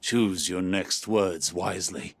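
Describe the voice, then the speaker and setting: A man playing a shrewd, authoritative strategist in a video game cutscene, calm, deliberate and precise. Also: deep voice, vocal fry, breathy edge, no echo, exaggerated voice. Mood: stern.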